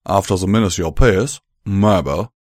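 A man's voice: sits low, moderate pace, darkish tone, no room sound, studio quality. Man doing a drawling pony buck. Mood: strained. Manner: drawling, strained and emotional. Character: rough-spoken young male pony gunslinger.

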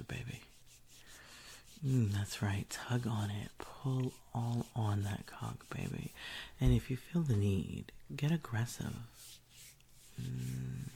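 A woman doing an intimate whispered audio roleplay, teasing and commanding in a breathy whisper close to the mic. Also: very deep voice, takes it slowly, dark tone, a little hiss or hum.

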